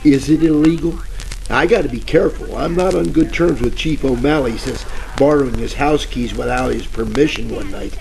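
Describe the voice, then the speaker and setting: A man's voice: mid-range voice, normal speed, vocal fry, a little mellow, lightly acted. An actor playing a bumbling, jittery private detective in a 1940s radio parody, rambling and stammering through tough-guy slang over crackly static. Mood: wary, cajoling.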